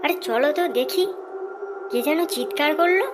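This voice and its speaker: A woman's voice: sits high, moderate pace, natural voice. A woman voicing an earnest, helpful young village man in a children's cartoon. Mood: urgent. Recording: loud music behind the voice.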